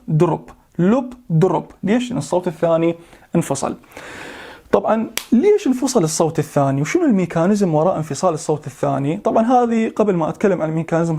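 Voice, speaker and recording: A man's voice: high voice, mellow. A young man giving a medical lesson, mixing in English terms and imitating heart sounds aloud. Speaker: medical lecturer.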